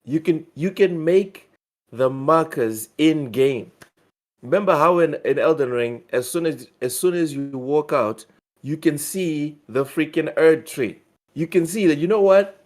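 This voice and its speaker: A man co-hosting a casual gaming news show, talking excitedly and often repeating words as he thinks. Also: fairly high pitch, measured pace. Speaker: podcast co-host.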